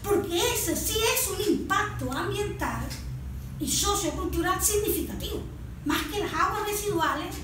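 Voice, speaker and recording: A woman's voice: very high-pitched, fairly bright tone. A woman with a Venezuelan Spanish accent explaining things formally and didactically as an interview guest. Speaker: guest, environmental project proponent. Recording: distant mic, very echoey noisy room.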